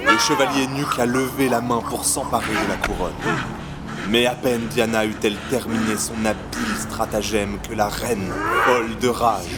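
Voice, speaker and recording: A man's voice: medium pitch, steady tone, moderate pace. A man narrating a fantasy audio drama in a scripted, dramatic storytelling style. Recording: loud music under narration. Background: loud music.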